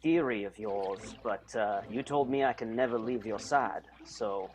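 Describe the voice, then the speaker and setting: A man's voice: on the low side, a little mellow, close to an everyday voice. A man playing a dutiful, hesitant bodyguard in an improvised radio soap. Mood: earnest, hesitant. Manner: halting.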